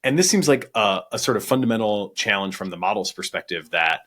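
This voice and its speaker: A man's voice: lowish voice, expressive, fairly quick, fairly bright tone. A man hosting a podcast interview, thinking aloud conversationally as he builds long, discursive questions.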